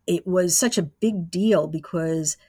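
A woman's voice: lowish voice, slow pace, warm tone, husky, no room sound, studio quality. An older woman casually reminiscing as a guest in an interview. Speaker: interview guest, former college radio engineer.

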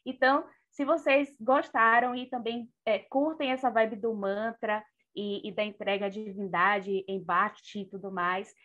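A Brazilian woman speaks in an informal, gushing way, like a guest on an online panel. Her pitch sits in the middle range. The audio is dull and lo-fi, as on an internet call. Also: a little slow, few pauses. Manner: effusive, informal, animated storytelling. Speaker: panelist on a live online discussion show. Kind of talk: casual chat, closing remarks.

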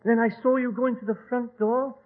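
High-pitched grave Egyptian dignitary. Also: male voice, level tone, a little fast. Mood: alarmed. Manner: formal, dramatic. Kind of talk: 1940s radio acting. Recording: tinny, band-limited old radio recording.

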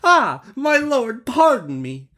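Man acting a high-pitched, pleading storybook merchant. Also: huge pitch swings, slow, sharp tone, full character voice. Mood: anxious pleading. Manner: pleading, polite, formal storybook speech. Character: frightened, pleading male merchant, innocent and deferential.

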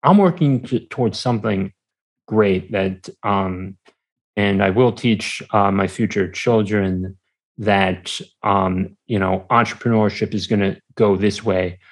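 A man in his twenties chatting casually as a podcast guest, speaking in halting, hesitant, broken phrases. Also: lowish voice, low-quality recording. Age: 20s. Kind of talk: casual interview chat.

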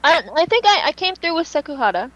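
A woman's voice: very high-pitched, sing-song, fast talker, poor audio. A woman co-hosting a fan podcast in casual, unscripted chat, speaking emphatically and repeating phrases for dramatic effect. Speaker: podcast co-host and fan fiction writer.

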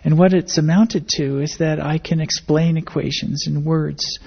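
A woman's voice: low voice, steady tone, a little slow, creaky, slightly rough. An older American woman answering interview questions in a thoughtful, hesitant conversational way, often repeating words as the thought forms. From grew up in Indonesia and the Philippines, American-born. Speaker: interviewee, academic biologist. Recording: dull, muffled lo-fi interview recording.

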